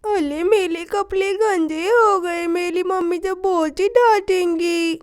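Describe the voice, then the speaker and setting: A woman's voice: extremely high pitch, fairly even intonation, slow pace. A woman voicing a mischievous little schoolgirl in a children's story, whiny and pleading with a babyish lisp. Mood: whining, worried.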